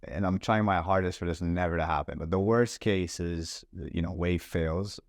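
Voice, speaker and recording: A man's voice: low-pitched, normal speed, no echo, studio quality. A young man from Lebanon, a startup founder, chatting casually on a podcast in a laid-back way, full of "like" and "bro". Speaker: startup CEO guest.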